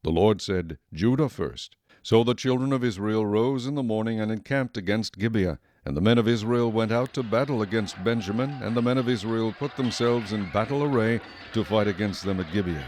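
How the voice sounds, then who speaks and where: A man giving solemn, measured scripted narration for a dramatized Bible recording. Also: lowish voice, darkish tone.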